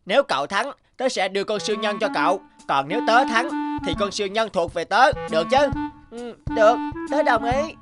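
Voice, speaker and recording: A woman voicing an excitable, quarrelsome young schoolboy in a cartoon, with a childlike, emphatic and dramatic delivery. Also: mid-range voice, brisk, loud music behind the voice, close to an everyday voice. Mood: negotiating. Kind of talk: animated cartoon dubbing.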